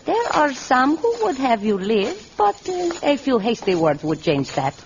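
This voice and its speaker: A sly, alluring fortress guard in an old radio adventure. Her theatrical voice swings widely in pitch, knowing and cautioning. The recording is muffled. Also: huge pitch swings, measured pace, slight background noise, heavily acted. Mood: warning. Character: sly, alluring female guard of warrior women. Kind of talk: vintage radio adventure serial acting.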